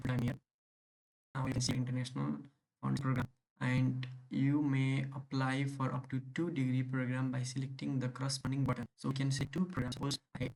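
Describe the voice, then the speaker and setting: Flat-voiced young man with Nepali accent. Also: medium pitch, creaky, poor audio. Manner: halting, many fillers and restarts. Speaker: vlogger walking through a university application. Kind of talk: screen-recorded tutorial.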